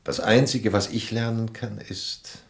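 An actor playing an aging, boastful and weary wandering king in a German radio play, grandiloquent and reflective, with a gravelly voice. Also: on the low side, fairly even intonation, measured pace.

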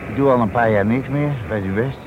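Actor as cheeky small-time crook, muffled noisy recording. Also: male voice, medium pitch, fairly quick, lightly acted. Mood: wary, defiant. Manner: mock-polite, streetwise. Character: cheeky, evasive small-time male crook under questioning. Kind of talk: radio drama acting. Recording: dull, noisy old radio play recording.

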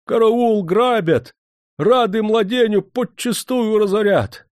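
A man's voice: on the low side, little pitch movement, a little slow, darkish tone, dry recording, clean studio sound. A man voicing a harried, irascible estate steward in a historical audiobook, exasperated, querulous and sarcastic. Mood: panicked.

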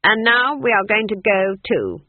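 Woman performing a scowling old witch, storybook fantasy, grim, unimpressed, husky, very dry close sound. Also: mid-range voice, lively intonation, normal speed, no echo, phone-quality sound, lightly acted. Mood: icy, commanding. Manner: grim, snapping, icy.

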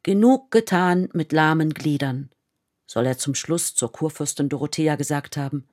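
A woman reading literary radio play narration, grave and deliberate, pausing between phrases. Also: low voice, darkish tone.

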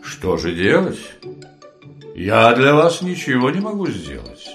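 An actor playing a curt, dismissive high-ranking dignitary in an audio play, formal and lofty in manner. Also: male voice, wildly swooping pitch, measured pace, creaky, a bit bright, faint music behind, heavily acted. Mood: cold, impatient.

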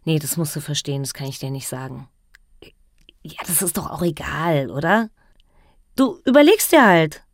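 A woman's voice: low-pitched, expressive, moderate pace, pauses often, no room sound, clean studio sound. An actress playing a cocky, secretive young woman chatting in a breezy, slangy way in a radio play. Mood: evasive, secretive. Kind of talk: radio play, casual one-sided chat.

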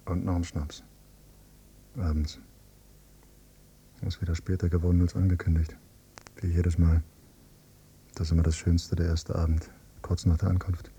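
A man's voice: little pitch movement, normal speed, pauses often, warm tone. A man playing a brooding, bitter adult son visiting his parents in a German radio drama, insistent and given to listing things. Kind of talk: German radio drama, alternating dialogue and inner monologue. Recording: rumbly, noisy cassette copy of radio play.

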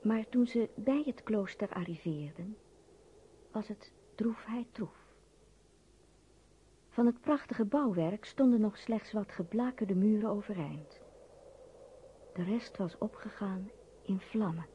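A woman's voice: mid-range voice, slow, long pauses. Woman narrator, slightly dull recording. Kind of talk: scripted storytelling narration for radio drama. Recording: dull recording with faint noise.

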